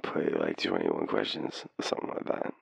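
A man's voice: rumbling low, sing-song, dry recording, full character voice. An Australian man playing a tipsy, shy, lovestruck young guy phoning his crush in an intimate audio roleplay, hesitant and rambling. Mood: shy, playful. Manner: hesitant, rambling, halting, breathy chuckles. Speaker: voice actor in boyfriend roleplay. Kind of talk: intimate audio roleplay, one-sided phone call.

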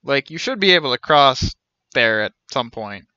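A man's voice: medium pitch, animated, moderate pace, sharp tone, no room sound, lo-fi sound. A man in his thirties giving casual, wry live game commentary, playfully muttering and repeating himself. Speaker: game streamer. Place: small indoor room with shelves. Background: game music.

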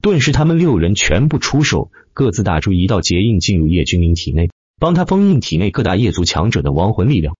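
Male audiobook narrator, animated dramatic delivery, lowish voice, close dry sound with dulled top end. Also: brisk, hardly any pauses, husky, no echo, lo-fi sound. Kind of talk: scripted dramatic narration of fantasy web novel.

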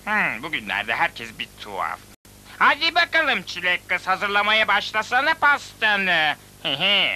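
Scheming boastful villain, theatrical, taunting, highish sharp voice, slow delivery, cartoon dub, slightly noisy. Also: male voice, wildly swooping pitch, full character voice. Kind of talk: dubbed cartoon acting. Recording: faintly noisy old VCD rip.

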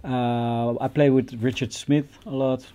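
A man's voice: medium pitch, level tone, measured pace, warm tone, clean tone. A man in his forties with a Dutch accent, a guest musician answering casually in an interview. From Netherlands, born in Colombia. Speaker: guest pianist. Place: indoor music studio with stage setup.

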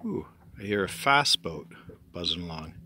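A man chatting casually as he films his evening routine in a small sailboat cabin, relaxed, with restarts and friendly "eh?" tags. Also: low voice, moderate pace, noisy background. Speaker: sailboat designer and vlogger. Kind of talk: casual how-to vlog narration.

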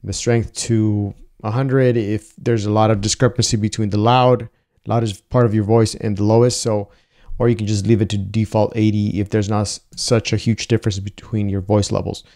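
Low, mellow-voiced young man explaining. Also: flat delivery, normal speed. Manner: casual, explanatory, frequent "uh" and "um" fillers. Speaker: video editing tutor. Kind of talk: screen-recorded software tutorial. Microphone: wireless lapel mic.

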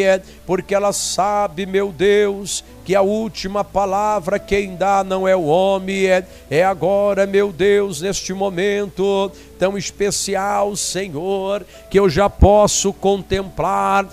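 Slow, high-voiced Brazilian preacher praying. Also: male voice, vocal fry, darkish tone. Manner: pleading, repetitive, punctuated with short interjections. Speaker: evangelical preacher leading a prayer. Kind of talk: fervent intercessory prayer.

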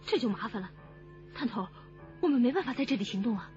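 Woman acting an eager fast-talking policewoman. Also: a little high, expressive, darkish tone, lo-fi sound, faint music behind, natural voice. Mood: worried, urgent. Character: eager young female police detective, keen and excitable. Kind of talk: radio crime drama acting.

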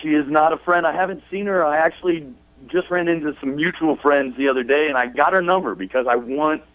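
A man's voice: on the high side, brisk, no echo. A man in his late twenties calling a radio show by phone, chatting casually and confessionally. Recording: dull phone call, dry.

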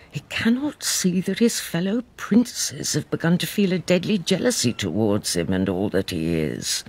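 An actor playing a worried, brooding old king with a husky voice, musing in a radio drama. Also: mid-range voice, heavily acted. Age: older. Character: weary, worried old king, brooding over his son. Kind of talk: reflective monologue in radio drama.

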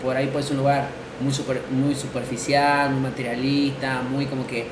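A man's voice: medium pitch, little pitch movement, normal speed. Young Argentine man, laid-back streamer. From Tucumán, Argentina. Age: young adult. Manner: laid-back, rambling, slangy. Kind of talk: casual chat on stream. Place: room set up for streaming. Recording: echoey room with background noise.

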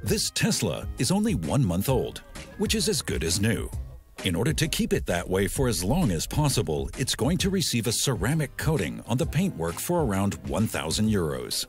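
A man reading scripted documentary voice-over in a measured, informative way. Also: on the low side, wildly swooping pitch. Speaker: documentary narrator. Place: studio voice-over. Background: background music.

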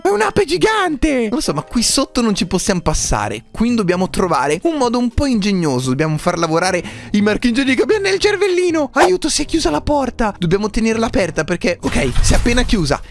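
A young man from Italy giving playful, excitable gameplay commentary, exclaiming often and talking to his viewers. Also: high voice, fast, creaky, hardly any pauses, faint music behind. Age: young adult. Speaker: gaming YouTuber, host.

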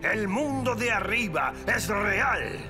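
A man with a Latin American accent playing a slick, theatrical explorer in a cartoon dub, proclaiming pompously with drawn-out drama. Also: high-pitched, animated. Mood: boastful, rousing. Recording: loud music behind.

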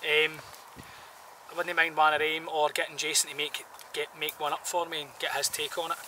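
A man's voice: a little high, little pitch movement, moderate pace, pushed and bright. A man, giving a casual, rambling gear review outdoors in colloquial language. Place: outdoors in a woodland camp. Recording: distant outdoor mic, some background noise.

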